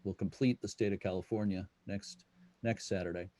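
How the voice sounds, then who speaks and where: A man's voice: deep voice, fairly even intonation, normal speed, warm tone, dry recording. A man casually moderating a question session on a video call as the host. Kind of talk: casual moderating of an online meeting.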